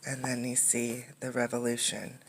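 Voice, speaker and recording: Low-voiced woman reading aloud to children. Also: completely flat delivery, rough recording. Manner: instructive, pauses to explain and ask questions. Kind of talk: interactive picture-book read-aloud with teaching asides.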